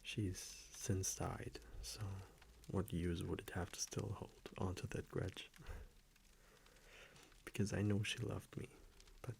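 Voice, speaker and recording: A gentle vampire boyfriend played by a man, very low and gravelly, murmuring intimate pillow talk in an ASMR roleplay. He is soft-spoken and affectionate, often slipping toward a whisper, with soft fireplace ambience faintly behind him. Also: little pitch movement, takes it slowly, long pauses, a little hiss or hum, exaggerated voice. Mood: wistful, calm. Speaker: audio roleplay voice actor.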